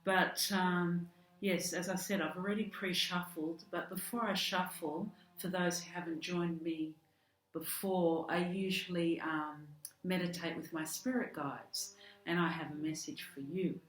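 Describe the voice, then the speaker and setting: A woman with an Australian accent who is losing her voice, giving a chatty tarot card reading with soft laughs and fillers. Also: fairly low pitch, fairly even intonation, a little slow. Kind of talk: casual direct-to-camera tarot reading. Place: home room with garden work outside. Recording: echoey room, faint outdoor garden work noise.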